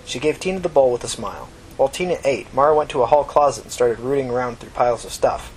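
A man's voice: medium pitch, fast, slight background noise, low-quality recording. A man narrating scripted fiction as the reader of a story.

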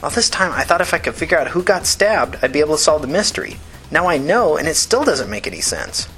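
A man's voice: on the high side, big pitch swings, quick pace, fairly bright tone, soft background music, voice pushed up. An earnest young woman of the streets, voiced by a male audiobook narrator. The voice sits somewhat raised, with plain, casual phrasing and a worried streak. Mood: frank, thoughtful.

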